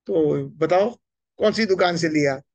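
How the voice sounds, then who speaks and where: Middle-aged male temple speaker, bright high voice, animated and questioning, close dry mic. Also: a little slow, no echo. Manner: animated, gesturing, asks the audience questions. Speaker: spiritual speaker. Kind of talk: Hindi devotional lecture. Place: temple hall indoors. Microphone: stand mic close to mouth.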